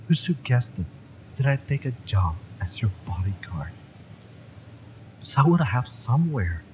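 Man acting a deep, brooding, wounded mercenary. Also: a little hiss or hum, low-quality recording. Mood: aching, tender. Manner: emotional, halting, sighing, drops into whispers. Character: fiery, wounded male mercenary, bitter but lovesick. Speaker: voice actor in an audio roleplay. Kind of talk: dramatic romance audio roleplay acting.

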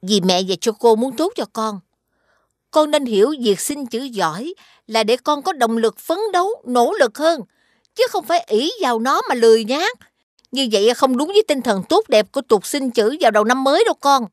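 Woman as high-voiced, strict cartoon mom. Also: lively intonation, moderate pace, no room sound, clean studio sound, lightly acted. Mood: lecturing. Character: caring but strict mother of young child. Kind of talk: Vietnamese animated series dubbing.

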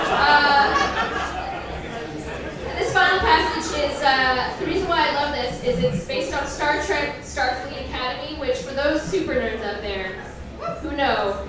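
A high-pitched woman reads comically to a live crowd, heard from a distance in a very echoey room. She delivers the text with mock drama, then steps out of it for wry, nerdy commentary with an audience audible around her. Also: moderate pace. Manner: self-deprecating, nerdy enthusiasm; mock-dramatic reading broken by deadpan commentary. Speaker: performer reading fan fiction on stage. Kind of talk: comedic live reading with wry asides. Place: indoor live comedy reading event before an audience. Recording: roomy echo, distant mic, audience crowd noise. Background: audience laughter.

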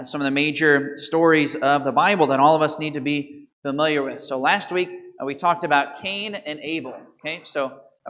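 A bright, fairly high male voice of a priest in his thirties, preaching in a large church sanctuary. He reads scripture and then talks to the congregation, putting questions to them and to the children. The voice is picked up by an altar mic and heard on a far camera. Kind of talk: gospel reading and homily. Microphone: microphone at the altar, far camera.

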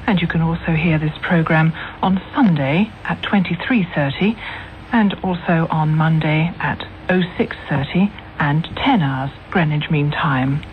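A woman's voice: deep voice, a little slow. British woman continuity announcer, scripted and formal, narrow-band radio sound with faint noise. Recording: tinny, noisy old radio broadcast.

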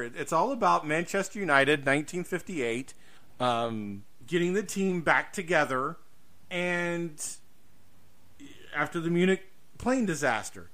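A man with a fairly high, sharp, forceful voice talks as a podcast host. He is opinionated and emphatic in a casual way, swearing and repeating his points to drive them home.

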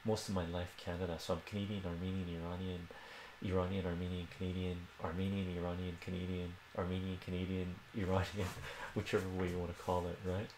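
A man's voice: sits low, little pitch movement, quick pace, echoey room, background noise. A middle-aged man from Iran giving a casual live math lesson at a whiteboard in a small home room, chatty and repeating himself, tagging his points with "right?". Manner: chatty, repeats phrases and tags "right?" often. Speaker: math streamer.

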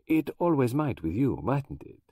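A precise, fussy elderly detective, as a male reader plays him, slow and formal in his speech. His pitch rises and falls a great deal. The sound is dry, with no room echo. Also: sing-song, warm tone. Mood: measured.

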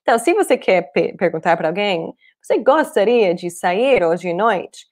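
A woman in her thirties, a native English speaker with a foreign accent in Portuguese, teaching an online language lesson in a clear, deliberate way. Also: mid-range voice, sing-song, a little mellow. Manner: clear, deliberate explaining with repeated examples. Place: small room indoors, at a desk.

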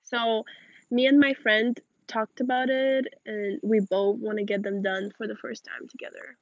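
A woman's voice: on the high side, flat delivery, measured pace, vocal fry, dry recording, lo-fi sound. A young woman in her late teens from Moldova, a native Russian speaker, chatting informally outdoors as she reads and answers viewer questions. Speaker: livestream host. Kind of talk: casual chat, reading and answering viewer questions. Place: outdoors in a backyard garden. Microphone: phone or camera at arm's length.

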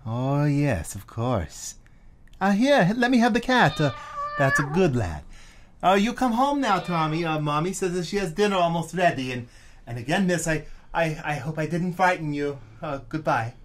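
A man's voice: fairly high pitch, sing-song, moderate pace. An actor playing a nervous man posing as a father, hesitant and stammering, in a radio drama. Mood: flustered. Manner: hesitant, stammering with many fillers.

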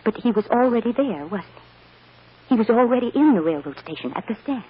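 An actress playing a tender, earnest mother in an old radio drama, speaking with soft, coaxing emotion. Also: a little high, expressive, fast, slightly rough. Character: tender, earnest young mother reassuring her adopted daughter. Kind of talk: old-time radio soap drama acting. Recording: muffled, noisy old radio recording.

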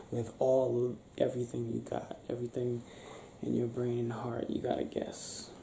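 A young man doing an improvised ASMR boyfriend roleplay, talking softly and affectionately in a breathy, teasing, near-whispered way. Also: medium pitch, completely flat delivery, measured pace. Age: young adult. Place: small bedroom, lying on a bed indoors. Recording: roomy sound with faint background noise.

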